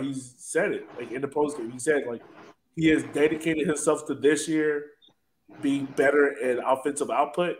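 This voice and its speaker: A man with a mid-pitched voice hosts a casual sports podcast. He talks in a playful, teasing manner, and some background noise sits behind him. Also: a bit bright, rough recording. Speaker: podcast host.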